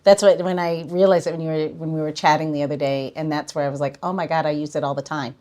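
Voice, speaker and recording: A woman's voice: deep voice, level tone, fast, mellow. A woman co-hosting a podcast, chatting casually and reflecting aloud in a rambling, self-correcting way. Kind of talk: casual chat.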